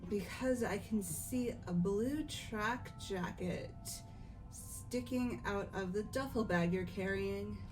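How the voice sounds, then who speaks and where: A composed, calculating gothic young lady from a visual novel game , voiced by a woman. She talks slowly and formally, each phrase deliberate and poised. Music plays clearly behind her. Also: medium pitch, natural voice, poor audio. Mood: probing. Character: refined, composed, calculating gothic-lolita teenage girl. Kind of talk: fan dub of visual novel game dialogue.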